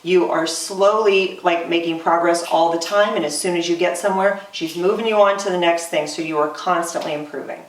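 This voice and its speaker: A woman in her forties, a singer, talking earnestly in a casual interview with many pauses and "um"s, recorded roomy from off-mic. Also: fairly low pitch, fairly even intonation, far from the mic. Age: 40s. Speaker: singer and voice student.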